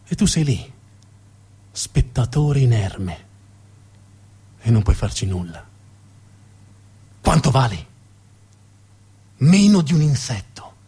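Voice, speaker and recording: A man performs a hard, cynical businessman of the stage, embittered by war. He speaks with commanding drama, his husky voice swinging widely in pitch. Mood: bitter, brooding.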